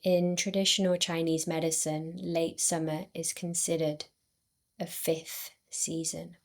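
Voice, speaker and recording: A woman guiding a yoga nidra relaxation in a soothing, unhurried way, with long pauses between short phrases. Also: low-pitched, level tone. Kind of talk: guided meditation.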